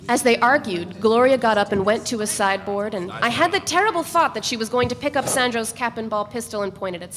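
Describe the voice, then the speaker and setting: Woman narrator, measured and even reading, recorded live in an echoey room with faint hiss. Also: medium pitch. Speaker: reader. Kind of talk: first-person literary narration read aloud.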